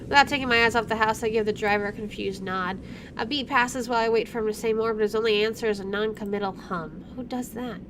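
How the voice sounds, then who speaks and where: A woman's voice: fairly high pitch, fast, noisy background. A woman streamer reading visual novel text aloud and breaking in with casual, playful asides. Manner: chatty, self-interrupting, playful reactions. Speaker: video game streamer doing a let's play.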